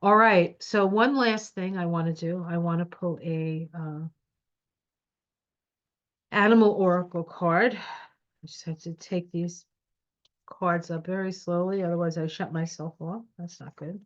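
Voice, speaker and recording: A woman's voice: on the low side, steady tone, a little slow. A woman with a stuffy nose giving a casual, encouraging tarot reading at her home computer. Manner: encouraging, reassuring. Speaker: tarot reader. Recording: dull lo-fi sound.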